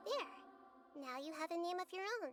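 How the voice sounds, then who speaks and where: High-voiced gentle young deity. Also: female voice, animated, soft background music. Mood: calm, reassuring. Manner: composed, measured, kindly. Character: small, wise, gentle young female deity. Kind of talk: anime video game voice acting.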